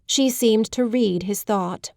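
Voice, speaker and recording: A woman narrating a literary audiobook in a measured, polished storytelling manner. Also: mid-range voice, creaky, darkish tone, dry recording, studio quality.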